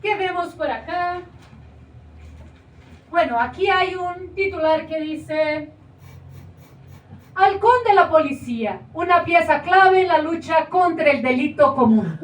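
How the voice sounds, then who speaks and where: Actress playing a brisk, high-voiced radio presenter. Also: female voice, lively intonation, heavily acted. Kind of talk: stage acting of a radio broadcast. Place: small theater stage. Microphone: far camera. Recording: echoey room from far off, faint noise.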